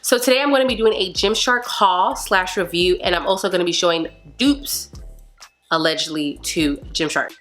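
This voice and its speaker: A woman's voice: fairly low pitch, moderate pace, faint music behind. A young woman with a cold and a slightly stuffy, nasal voice, chatting animatedly in a casual haul review from her couch at home. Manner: animated, talks with her hands. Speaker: beauty and fitness vlogger. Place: living room, seated on a leather couch indoors.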